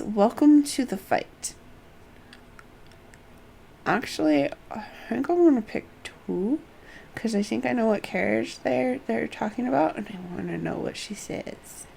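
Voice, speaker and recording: A woman's voice: mid-range voice, animated, measured pace, creaky, darkish tone, slight background noise. A woman livestreaming a game, reading dialogue aloud with casual asides and the odd self-correction. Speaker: game streamer.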